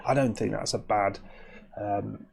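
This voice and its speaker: Brit guy rambling over his game, stuffed up w hay fever, raspy croaky low voice. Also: fairly even intonation, a little slow, a little mellow. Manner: rambling, chatty, with frequent restarts. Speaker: host. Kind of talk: casual gameplay commentary.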